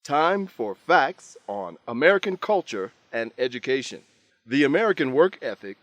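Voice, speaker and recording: Animated male English lesson narrator. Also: mid-range voice, dry recording. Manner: deliberate, instructive. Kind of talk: scripted educational narration.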